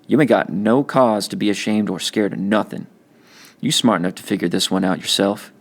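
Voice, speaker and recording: A man's voice: on the low side, fairly quick, creaky. A man voicing a cocky, streetwise teenage older brother with blunt, slangy talk in a novel reading. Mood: firm, encouraging.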